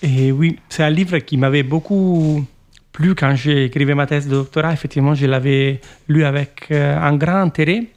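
A man's voice: fairly high pitch, fairly even intonation, normal speed, a little mellow. A man from Italy with an Italian accent, an author answering interview questions in a reflective, hesitant way with many restarts. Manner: thoughtful, hesitant, with frequent restarts and fillers.

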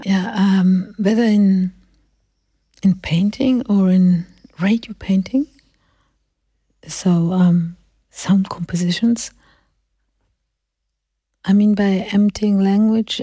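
A woman hosting an interview and speaking about her own art in a slow, thoughtful, measured way. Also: mid-range voice, dark tone, breathy edge, no room sound, studio quality. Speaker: host and artist. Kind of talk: radio interview.

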